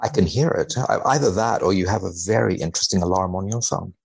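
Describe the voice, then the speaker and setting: A man speaking as a researcher guest in conversation, wry and measured, giving tongue-in-cheek commentary with mock seriousness. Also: deep voice, gravelly, breathy edge. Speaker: podcast guest, biomedical researcher.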